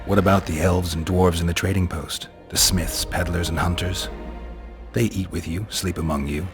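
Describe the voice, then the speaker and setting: An actor playing a stoic, blunt monster hunter in a video game, with a terse, deadpan manner. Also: male voice, sits low, normal speed, over music, lightly acted. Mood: dry, challenging. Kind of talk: video game dramatic dialogue.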